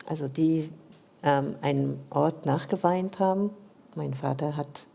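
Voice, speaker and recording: Low-voiced French-native woman. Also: a little slow, creaky, warm tone. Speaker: panel guest. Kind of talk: panel discussion.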